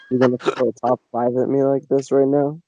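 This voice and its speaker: A man's voice: mid-range voice, level tone, normal speed, dark tone, muffled recording. A man with a mid-range voice co-hosts a casual podcast. He banters in a jokey way and teases the others with mock outrage. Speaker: podcast co-host. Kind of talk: casual chat.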